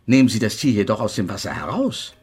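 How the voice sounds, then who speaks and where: A haughty, eccentric physics professor in a radio drama. His husky voice rises and falls in animated sweeps. He explains things with pedantic precision and an exasperated edge. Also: lowish voice, fast talker, full character voice. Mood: lecturing, precise. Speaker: actor in a radio play. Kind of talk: German radio drama acting.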